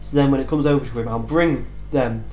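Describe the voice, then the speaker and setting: A man's voice: mid-range voice, fairly quick, darkish tone. A man co-hosting a casual gaming chat show, eagerly laying out his game tactics at length. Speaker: co-host. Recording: echoey room with faint hum.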